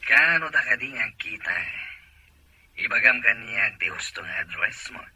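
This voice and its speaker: A man's voice: medium pitch, animated, moderate pace, sharp tone, husky, full character voice, low-quality recording. An actor playing an insistent male suitor speaking through a telephone effect in a radio drama.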